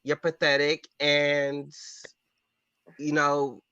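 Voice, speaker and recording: A man with a high, sharp voice argues his opinions bluntly and emphatically. He swears freely as a panelist in a heated discussion. The sound is dry and slightly dull. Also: completely flat delivery, extremely slow, smooth, dry recording. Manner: blunt, foul-mouthed, emphatic. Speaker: panelist on a pop culture discussion show.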